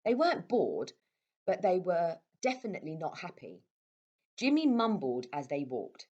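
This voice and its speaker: A woman reading a children's story aloud as a storyteller, with expressive delivery. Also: on the low side, moderate pace, husky, highs cut off. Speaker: storyteller at a primary school.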